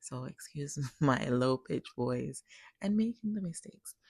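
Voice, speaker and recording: A tired woman, a nail technician, talks viewers step by step through her work in a relaxed tutorial voice-over. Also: extremely low pitch, measured pace, gravelly, husky, no room sound. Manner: relaxed step-by-step explaining, addresses viewers as guys. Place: recorded separately as a voice-over.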